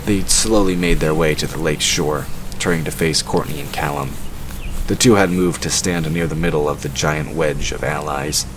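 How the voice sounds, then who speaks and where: A man's voice: a bass voice, normal speed. A man narrating a scripted fantasy story for an audio drama. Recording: clearly noisy background. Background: ambient sound effects.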